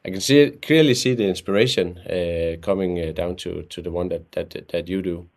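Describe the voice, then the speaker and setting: Male host with a low voice; hesitant, repeats and restarts himself. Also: a little mellow. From Denmark. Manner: halting, repeats words and restarts phrases. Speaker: podcast host. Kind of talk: casual interview talk.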